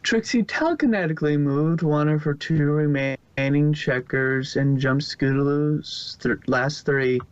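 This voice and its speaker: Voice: mid-range voice, measured pace, few pauses, clear, resonant tone, dry recording. A narrator reading a fan story aloud in a plain way, often stumbling and restarting words. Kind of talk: amateur fanfic reading. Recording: dull lo-fi home recording.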